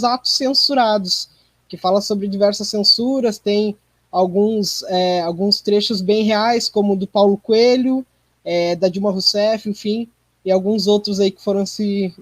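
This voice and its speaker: Brief: Brazilian man, high-pitched voice, dry close sound, informal conversational guest with frequent tag questions. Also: dry recording. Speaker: theatre group member, interview guest. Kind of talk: conversational interview answer.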